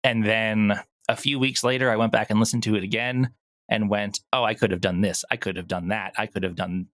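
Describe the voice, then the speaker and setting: A man's voice: on the low side, fairly even intonation, a little fast, a bit bright, no echo. A man talking casually as an interview guest, explaining things earnestly. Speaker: podcast guest, audio drama producer.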